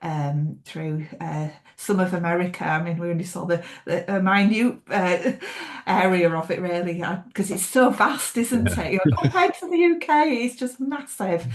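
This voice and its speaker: A woman's voice: lowish voice, moderate pace. A middle-aged woman with a Yorkshire accent, a thriller author chatting casually in an interview, often restarting her sentences. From Yorkshire, England. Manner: chatty, hesitant with many restarts and laughs.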